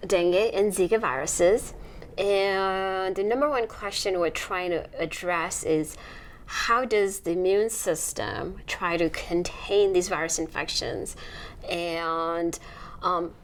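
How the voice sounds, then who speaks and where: A middle-aged woman from Nepal, a researcher, explaining her work in a measured documentary interview. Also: fairly low pitch. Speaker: immunology researcher.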